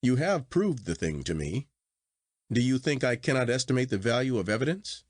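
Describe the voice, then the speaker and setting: A man voicing a convinced, stubborn gentleman in storybook dialogue, formal, assertive and questioning. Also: mid-range voice, very animated, moderate pace, no room sound, studio quality, pitched above the performer's own voice. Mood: convinced, challenging.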